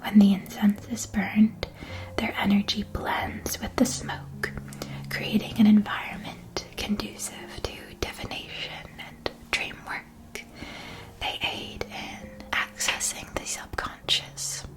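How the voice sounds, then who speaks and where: Casting: a woman playing a courteous magic-shop keeper for ASMR roleplay, whispering throughout, slow and gentle. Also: mid-range voice, level tone, natural voice, rough recording. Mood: soothing, explanatory. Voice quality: soft, breathy whisper. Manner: slow, gentle, measured, attentive salesmanship. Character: courteous, helpful woman shopkeeper at a witch school supply store.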